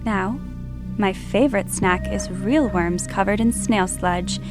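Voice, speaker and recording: A young female monster hosting a horror podcast. She tells her tales slowly and theatrically, with creepy menace. Music plays clearly behind her. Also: mid-range voice. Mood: eerie, gleeful. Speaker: podcast host. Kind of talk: scripted horror storytelling.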